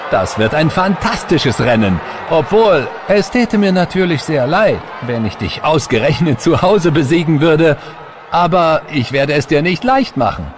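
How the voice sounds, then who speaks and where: A man's voice: a little high, animated, unhurried, a little hiss or hum. A man voicing a suave, competitive race car in a German-dubbed cartoon, courteous and playfully boastful. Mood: playful, eager.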